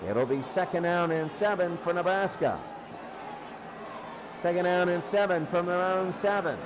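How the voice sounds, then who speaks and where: Male football play-by-play caller, high-pitched, excitable and repetitive, over a loud noisy background. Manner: excitable, short repetitive phrases. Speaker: play-by-play sports commentator. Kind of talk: American football radio-style commentary.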